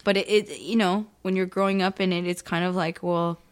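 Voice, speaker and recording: A young adult woman talking animatedly and emphatically in a casual conversation as a podcast co-host. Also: on the low side. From United States. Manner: animated, emphatic, quick bursts of speech.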